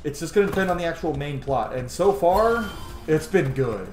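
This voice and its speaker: A man's voice: on the high side, expressive, moderate pace, a little mellow. A man in his thirties giving enthusiastic, opinionated and casual commentary as he plays a video game. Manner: enthusiastic, opinionated, swears freely. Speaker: gaming let's player. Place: small room at home, indoors. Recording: roomy home recording under game audio. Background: game music.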